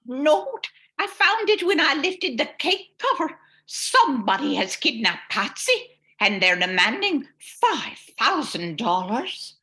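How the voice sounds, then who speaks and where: Anxious innocent woman, radio melodrama, actress, high, drawn-out, very animated delivery. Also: full character voice. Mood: distraught. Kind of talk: melodramatic 1940s radio acting.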